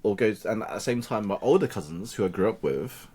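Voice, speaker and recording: A man whose first language is Cantonese talks through his upbringing as a podcast guest. His voice sits fairly low, and he slips into lively impressions of the people he quotes. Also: fairly quick, slightly rough. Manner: animated, acts out quoted voices of family and peers. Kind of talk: conversational interview storytelling.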